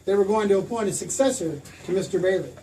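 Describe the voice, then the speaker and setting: A man acting a patient celestial narrator in an old-time radio play. The voice is high and measured as it explains, heard at a distance through clear background noise. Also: expressive. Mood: solemn. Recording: noisy stage recording from far off.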